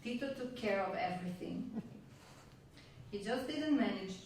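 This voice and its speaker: A woman from Yugoslavia, a native Hungarian speaker, performing a spoken-word monologue on a venue stage in a measured, reflective way with wry asides. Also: medium pitch, lively intonation, a little mellow, slight background noise. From Yugoslavia (Vojvodina). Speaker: stage storyteller. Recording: roomy, distant live hall sound.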